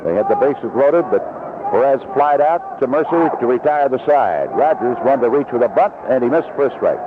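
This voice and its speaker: A man's voice: mid-range voice, animated, a little fast. A man calling baseball play-by-play on live radio in short, descriptive phrases. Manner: descriptive, short clipped calls. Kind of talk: live radio sports commentary. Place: broadcast position at an outdoor ballpark. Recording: narrow-band vintage radio broadcast, noisy.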